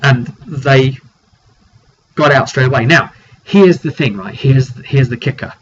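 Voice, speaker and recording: A man giving an informal recap of a tabletop roleplaying session as its game master, telling it emphatically with dramatic pauses. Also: mid-range voice. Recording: dull lo-fi sound with clear noise.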